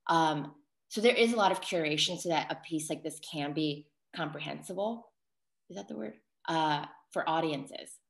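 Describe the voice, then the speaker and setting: A woman's voice: low voice, moderate pace, gravelly. An American woman, a solo performer, speaking reflectively in a discussion, hesitating and correcting herself as she thinks aloud. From New York City, United States. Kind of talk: reflective post-show discussion. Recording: roomy, echoing sound.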